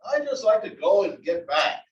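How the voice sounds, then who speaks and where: A man reading the part of an eager, energetic husband of seventy-five in a comedy table read. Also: sits high, normal speed. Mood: hurried. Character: energetic, hurried seventy-five-year-old husband, retired engineer. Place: library room reading before an audience. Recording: dull distant mic in echoey room.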